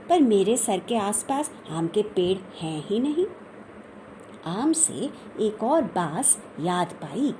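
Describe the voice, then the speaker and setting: Woman reading a funny kids story, slow n soft-toned, a bit of background noise. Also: fairly high pitch, low-quality recording. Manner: animated, deliberate storytelling. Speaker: audiobook reader. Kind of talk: expressive humorous children's story narration.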